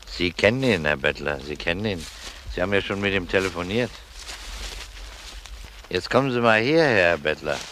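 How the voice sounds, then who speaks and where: A shrewd police detective in a crime radio drama. His voice is very low yet forceful, and he questions in a measured, courteous, probing way. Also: animated, slight background noise. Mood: accusing. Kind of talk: German crime radio play acting.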